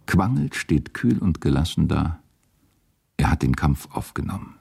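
A man narrating a radio drama from a script in a measured, sober manner. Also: a bass voice, sing-song, gravelly, a little mellow, husky.

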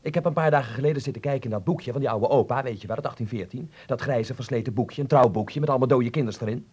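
An actor playing a bitter, defiant teenage son in a Dutch radio play, sardonic in manner. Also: male voice, medium pitch, fast talker, darkish tone, husky, natural voice.

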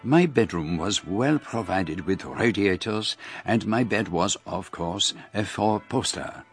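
An actor playing a shrewd, courteous, self-assured foreign detective in a radio drama, with precise, formal phrasing. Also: male voice, lowish voice, lively intonation, slow, soft background music. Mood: measured narration. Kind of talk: radio drama dialogue and narration.

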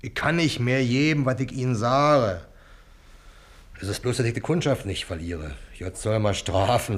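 An actor playing a crafty old bargeman in a German radio play, grumbling and wheedling in Berlin dialect. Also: on the low side. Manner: grumbling, plaintive, haggling. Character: wheedling, crafty old bargeman who deals in poached goods.

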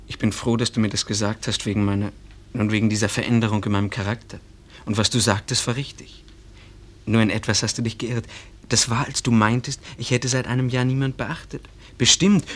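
A man playing an earnest, bashful small-town schoolboy in love, speaking haltingly in a German radio play. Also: mid-range voice, steady tone, lightly acted. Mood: bashful, sincere. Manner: halting, searching for words.

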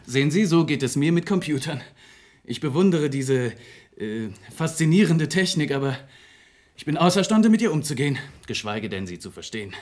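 An actor in a German radio play playing a polite, formal cellist who speaks with hesitant courtesy. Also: male voice, on the high side, big pitch swings, moderate pace, darkish tone. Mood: wistful, hesitant. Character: polite, hesitant cellist, grieving son, unworldly with technology.

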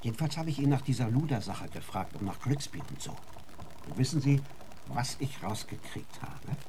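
Casting: male actor as chummy, crooked tour manager for radio drama, glib and cajoling, highly animated pitch, faint noise behind. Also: normal speed, lightly acted. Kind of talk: German radio drama acting.

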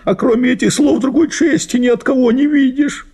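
A man's voice: extremely high pitch, steady tone, brisk, gravelly, a little mellow, slightly rough, full character voice. A man voicing an aggrieved, tearful peasant servant woman in a dramatized story, with plaintive folk speech. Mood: indignant. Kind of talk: dramatized literary short story reading.

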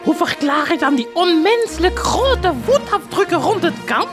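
A tiny, squeaky outlaw follower, eager and fawning in a radio comedy. The voice is extremely high and bounces all over the place, with music behind it. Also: male voice, lively intonation, moderate pace. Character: childlike, eager, fawning little outlaw sidekick. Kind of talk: comedic radio play acting.

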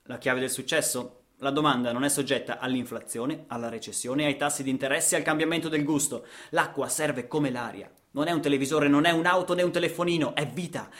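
Guy doing dramatic radio theater monologue, voice a bit high, rhetorical, lots of pauses n repeats. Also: fairly bright tone. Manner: rhetorical, emphatic, with deliberate pauses and repetitions. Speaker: actor and narrator.

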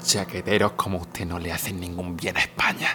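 A man's voice: low-pitched, little pitch movement, a little fast, music in the background, lightly acted. A man playing a contemptuous, menacing military officer in a historical audio drama, commanding and scornful. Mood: cold contempt.

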